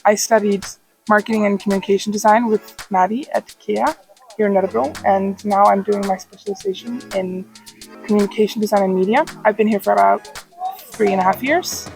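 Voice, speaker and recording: A woman's voice: medium pitch, steady tone, a little fast, creaky, a little mellow, faint music behind, low-quality recording. A woman, introducing herself in short, matter-of-fact phrases. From Iceland. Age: 20s. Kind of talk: interview self-introduction.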